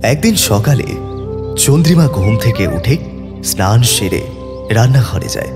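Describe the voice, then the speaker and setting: A man narrating an audio story in a dramatic, scripted style with expressive pauses. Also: mid-range voice, big-room sound. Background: clear background music.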